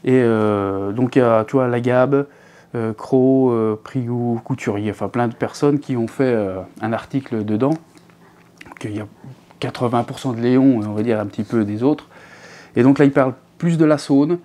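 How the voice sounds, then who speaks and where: A man's voice: mid-range voice, moderate pace, dark tone. A man in his thirties hosting a fishing channel, chatting casually to viewers from an armchair at home, rambling with many fillers and restarts. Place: living room at home, seated in an armchair.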